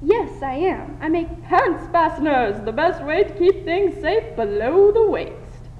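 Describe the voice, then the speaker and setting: A woman's voice: very high-pitched, sing-song, unhurried, far from the mic, exaggerated voice. A woman playing a prim, blunt young unmarried lady with emphatic delivery in a 1920s radio drama parody. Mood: polite. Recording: muffled, reverberant, faint hiss.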